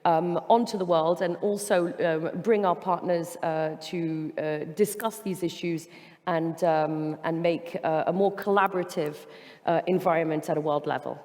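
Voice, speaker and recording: Woman on a panel, lots of uhs and restarts, kinda low voice, big hall echo. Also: fairly even intonation, moderate pace, darkish tone. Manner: hesitant, many filler sounds and restarts. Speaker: panel speaker on trade policy. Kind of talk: panel talk.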